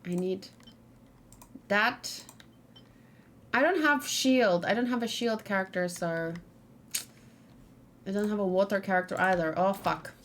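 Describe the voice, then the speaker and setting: A woman giving casual, excitable let's play commentary, talking to herself and repeating words in quick bursts. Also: medium pitch, a little hiss or hum. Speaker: gaming let's play host.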